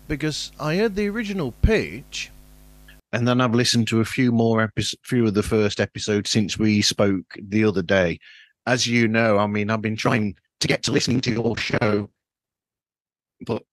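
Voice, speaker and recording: A man's voice: mid-range voice, no echo. A British man hosting a casual interview podcast, phrasing things in a hesitant, roundabout way. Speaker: podcast host.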